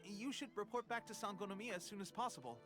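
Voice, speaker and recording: A man's voice: sits high, animated, fast, music in the background. An actor voicing an earnest young male resistance soldier who is coughing from a cold, in a game cutscene. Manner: earnest, coughing between lines. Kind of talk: video game cutscene voice acting.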